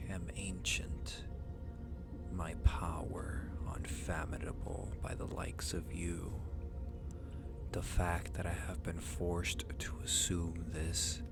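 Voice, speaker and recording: A man plays an ancient, proud dragon trapped in human shape, speaking in a deep, breathy half-whisper. The delivery is extremely slow and even, haughty and seductively taunting, in the style of an ASMR roleplay. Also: full character voice. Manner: slow, haughty, teasing drawl. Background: music.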